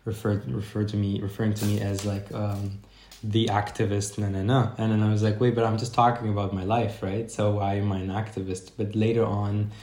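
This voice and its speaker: A man from Germany talks through his answers slowly, doubling back and filling pauses as he thinks. His voice sits low. The sound carries a roomy echo. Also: warm tone. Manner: reflective, rambling with many fillers and restarts. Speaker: podcast guest, life coach. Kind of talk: casual interview chat.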